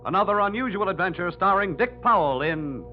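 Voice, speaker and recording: A man's voice: sits high, expressive, brisk. An American man announcing from a script in old-time 1940s radio style. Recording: muffled old radio recording, loud music.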